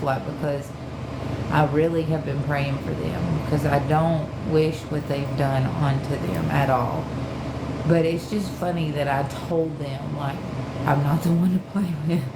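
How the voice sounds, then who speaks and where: A woman talking casually and confidingly in a rambling, informal personal chat, repeating phrases for emphasis. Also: low-pitched. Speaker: social media livestreamer. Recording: echoey room, loud background hum.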